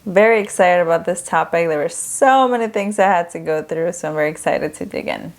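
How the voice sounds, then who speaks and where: Chatty young woman podcaster, slightly low. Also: a little fast. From Ecuador. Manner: chatty, candid, with frequent fillers. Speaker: podcast host. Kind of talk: casual solo podcast talk.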